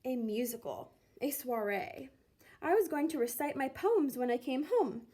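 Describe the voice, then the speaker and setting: High-voiced woman reading a storybook aloud. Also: expressive, normal speed, mellow. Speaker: teacher reading a picture book aloud. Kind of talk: expressive storybook read-aloud.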